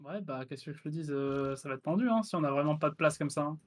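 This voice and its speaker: A man's voice: fairly high pitch, soft background music. A man chatting casually with his teammates during an online strategy game, planning moves and calling them "mate". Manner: quick, relaxed, calls others "mec". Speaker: gamer. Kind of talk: casual team voice chat.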